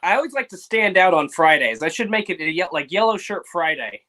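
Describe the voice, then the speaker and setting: A man's voice: high voice, lively intonation, fairly quick, bright, forceful tone. A man who is a sports writer, chatting as a guest on a sports radio show in an easygoing, agreeable way. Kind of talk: casual sports radio chat.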